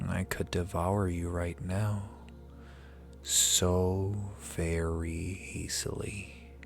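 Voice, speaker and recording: A man's voice: low-pitched, gravelly, a bit bright, full character voice. A man playing an ancient, powerful dragon in an ASMR roleplay, speaking formally in an intimate, teasing, condescending and possessive manner. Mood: menacing, musing. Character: ancient male dragon familiar, powerful, possessive, amused, seductive.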